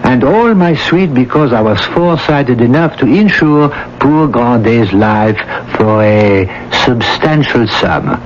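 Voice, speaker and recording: Smooth, scheming male lover, muffled radio. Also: medium pitch, animated, takes it slowly, few pauses. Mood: casually callous. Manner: condescending, persuasive. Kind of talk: radio drama acting. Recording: dull, noisy old radio broadcast.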